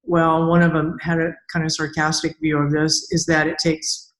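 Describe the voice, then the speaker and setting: A woman's voice: sits low, little pitch movement, moderate pace. A woman hosting an interview, talking in a blunt, informal, conversational way. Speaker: podcast host. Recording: roomy, echoing voice pickup.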